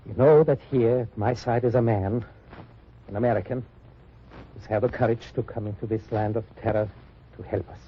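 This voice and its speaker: A man's voice: mid-range voice, fairly even intonation, moderate pace, pauses often, a little hiss or hum, natural voice. A man playing a pompous German double agent posing as a resistance leader, making grandiose speeches in an old radio drama. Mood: falsely stirring. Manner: grandiose, declamatory. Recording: muffled old radio recording.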